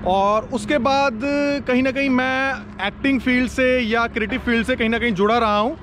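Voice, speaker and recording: A man with a high, light voice talks casually to the camera during a road trip, joking with the friends riding along. He is recorded inside a moving car, and loud road and engine noise sits under his voice the whole time. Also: steady tone, normal speed. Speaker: vlogger and actor. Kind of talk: casual travel vlog narration.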